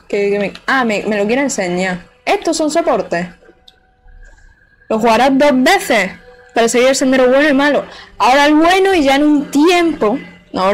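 Girl streaming a game, chatty and playful, kinda high voice, faint game music behind. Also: low-quality recording. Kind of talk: casual live gameplay commentary.

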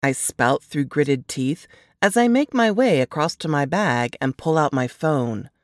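A woman with a low voice narrates an audiobook dramatically in the first person. Her delivery is slow and very lively in pitch, recorded dry. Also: dry recording.

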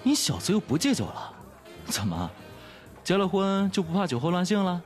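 A man's voice: high voice, sing-song, moderate pace, soft background music. A man acting a nosy, teasing young best friend in an audio drama, with exaggerated delivery.

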